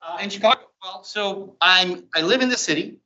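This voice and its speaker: A middle-aged man speaks informally to a group in a very bright, forceful voice pitched a bit high. He is chatty and rambling, with folksy turns. The recording sounds dull and muffled. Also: moderate pace. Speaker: meetup co-host and presenter.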